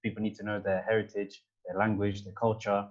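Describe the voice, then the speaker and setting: A man with a London accent giving his opinions in an informal panel talk, haltingly, often repeating words as he makes his point. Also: fairly low pitch, little pitch movement, muffled recording, faint music behind. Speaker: panel speaker.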